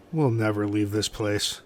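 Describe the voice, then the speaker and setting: A man playing an exasperated, resigned castaway in a comedy radio play, speaking bluntly and casually. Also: lowish voice.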